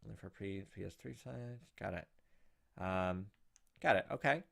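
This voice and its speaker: A man's voice: lowish voice, normal speed, creaky. A man hosting a let's-play, chatting casually and thinking aloud with frequent ums and likes. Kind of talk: casual gameplay commentary.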